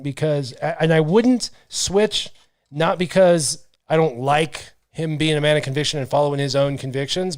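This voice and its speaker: A man's voice: a little high, dry recording. A man in his thirties hosting a podcast, chatting casually and reading viewer comments from a small studio mic. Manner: relaxed, reads out viewer comments. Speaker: podcast host. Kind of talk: casual chat. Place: small brick-walled studio. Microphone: headset and studio mic.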